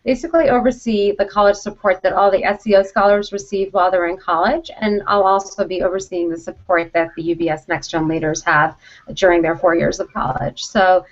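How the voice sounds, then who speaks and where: A woman's voice: mid-range voice, steady tone, a little fast. A woman giving an instructional presentation to students, explaining things in an emphatic, directive way. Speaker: college program vice president, presenter. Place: conference call. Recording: dull conference call audio.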